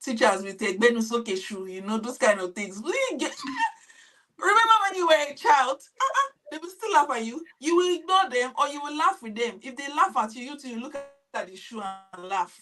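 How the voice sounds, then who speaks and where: A Nigerian woman, a teacher giving lively, laughing advice in a casual panel chat. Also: high-pitched, normal speed, far from the mic. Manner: animated, laughing, mimics pupils.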